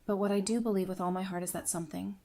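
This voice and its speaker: A woman's voice: mid-range voice, steady tone, racing, darkish tone. A woman acting a sincere, caring friend in a fan audio drama. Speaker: voice actor.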